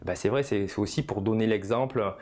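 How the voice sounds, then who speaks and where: A man's voice: lowish voice, a little fast, a little mellow. A man, explaining in an informal coaching style and often punctuating his phrases with small tag words. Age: 50s. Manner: didactic, punctuates phrases with "hein" and "voilà". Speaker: personal development coach. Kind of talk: informal video lesson, explanatory.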